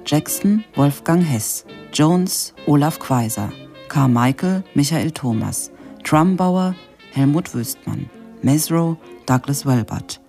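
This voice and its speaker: A woman announcer with a low, mellow voice reads scripted credits formally. She takes her time over each item, with music softly behind her. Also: lively intonation, slow, gravelly.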